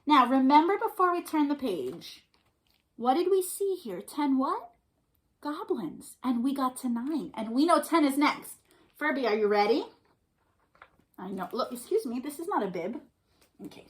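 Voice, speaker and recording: Lady doing storytime for little kids, high voice, super animated, playful and encouraging. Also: moderate pace, long pauses. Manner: encouraging, playful, asking the listeners questions. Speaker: children's storytime host and librarian. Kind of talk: interactive read-aloud and singalong for young children.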